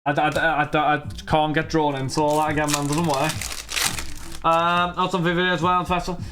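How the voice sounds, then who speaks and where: A young man in his twenties, talking loosely and chattily as he answers viewers during a live stream. His voice sits a little high for a man, and he rambles from one thought to the next. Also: flat delivery, moderate pace, background noise. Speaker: streamer opening trading cards. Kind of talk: casual live-stream chat.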